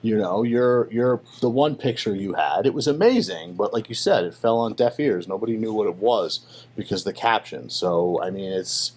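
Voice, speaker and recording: A man's voice: on the low side, expressive, moderate pace, a little mellow. A man co-hosting a casual podcast chat, joking and self-deprecating, often cutting in. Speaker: podcast co-host.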